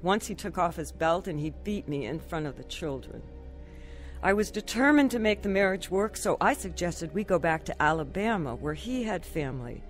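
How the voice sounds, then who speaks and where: A woman's voice: fairly low pitch, animated, normal speed, soft background music, close to an everyday voice. An actress playing a troubled wife and mother who tells her life story in an earnest, confessional radio drama style. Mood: regretful. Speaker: lead actress narrating. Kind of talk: radio drama first-person narration.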